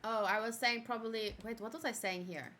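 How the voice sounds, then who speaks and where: A young woman whose first language is Afrikaans, chatting casually while reviewing an anime and reacting to her own old video, giggling and switching between loud outbursts and quiet muttering. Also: fairly high pitch, fairly even intonation, a little fast, a little hiss or hum.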